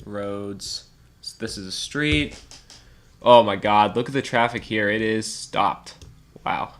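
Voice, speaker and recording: A man's voice: fairly low pitch, slow, a bit bright. Stuffed-up man chatting over gameplay. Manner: chatty, laughs often, thinks aloud. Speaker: let's play host. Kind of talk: casual gameplay commentary.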